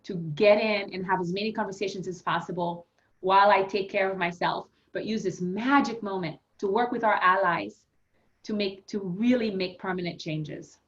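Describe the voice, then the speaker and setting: A woman's voice: mid-range voice, normal speed. A woman from Haiti, a yoga health coach, speaking earnestly and reflectively as a guest in a conversational interview. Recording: muffled lo-fi audio.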